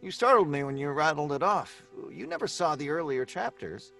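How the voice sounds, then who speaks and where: High-voiced, flippant radio-drama writer. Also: male voice, moderate pace, faint music behind. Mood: breezy, curious. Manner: breezy, animated, bantering. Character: flippant, excitable male story writer, mockingly unafraid. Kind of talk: old-time radio drama acting.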